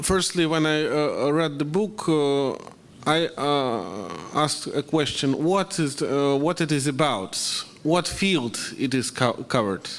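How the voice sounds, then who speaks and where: A Russian man, a university lecturer, speaking up from the audience at a conference, hesitant with many fillers. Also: mid-range voice.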